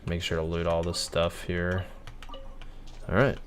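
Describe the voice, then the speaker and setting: Man, low pitch, casual gaming livestream commentary, musing aloud. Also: completely flat delivery, unhurried. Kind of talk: casual game stream commentary.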